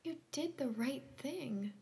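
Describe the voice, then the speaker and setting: Haunted woman with dark desires, higher register, hushed delivery, theatrical drama. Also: expressive, takes it slowly, creaky, exaggerated voice. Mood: quietly confessing. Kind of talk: stage-play dialogue acting.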